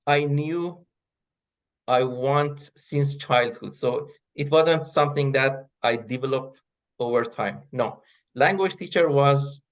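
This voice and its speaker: A middle-aged man whose first language is Persian, answering interview questions as a guest professor, pausing often with fillers and restarts. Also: medium pitch. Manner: hesitant, many fillers and restarts. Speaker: guest, associate professor of linguistics.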